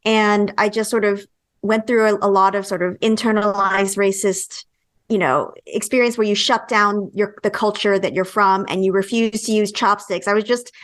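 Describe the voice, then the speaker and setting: A woman's voice: medium pitch, steady tone, moderate pace. An American woman with a mid-range voice speaks as an interview guest. She tells her own story in an informal, conversational way, like someone talking on a podcast. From San Francisco Bay Area, United States.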